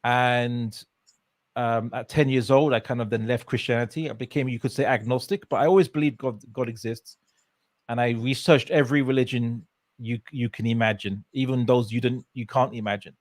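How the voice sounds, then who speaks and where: A man's voice: mid-range voice, fairly even intonation, normal speed, dry recording. A man from England, telling his story as an interview guest in a casual way, with many fillers and restarts. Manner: hesitant, many fillers and restarts, laughs often.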